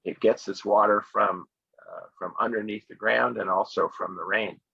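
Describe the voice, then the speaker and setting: An American man, middle-aged, giving a relaxed, friendly talk on travel spots to an online English conversation club over a video call. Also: lowish voice, normal speed, no room sound. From United States. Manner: relaxed and friendly, speaks clearly with fillers and laughs. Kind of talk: casual presentation on travel spots. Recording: dull lo-fi video call audio.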